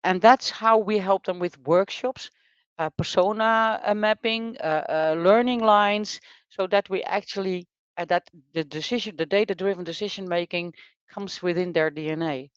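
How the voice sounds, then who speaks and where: A speaker with a smooth, resonant voice at a middle pitch, talking casually as a podcast co-host. The sound is dry and slightly muffled, and the speech stops and restarts with fillers and repeated words. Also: normal speed, no room sound. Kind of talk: casual conversation.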